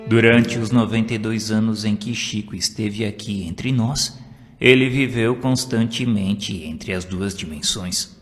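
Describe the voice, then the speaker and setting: A man's voice: lowish voice, unhurried. A Brazilian man reading a scripted story as an audiobook narrator. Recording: long hall reverb, music behind. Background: background music.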